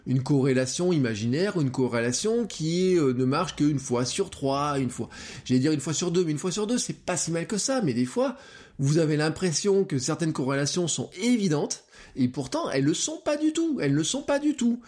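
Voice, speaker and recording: A man hosting a solo podcast, explaining his ideas to listeners, often pausing with hesitations as he thinks aloud, speaking close to a microphone. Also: a little high, sing-song, darkish tone. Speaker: podcast host.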